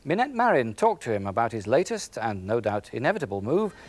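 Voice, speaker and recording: Animated British male TV presenter. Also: mid-range voice, fairly quick, faint music behind. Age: middle-aged. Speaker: television presenter. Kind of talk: scripted presenting to camera. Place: television studio.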